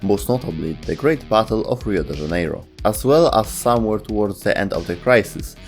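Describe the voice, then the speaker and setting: A man with a fairly low voice delivers scripted narration for a gaming video. He speaks as the host and narrator, with faint music running underneath. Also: expressive, fairly quick. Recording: music behind the voice. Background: background music.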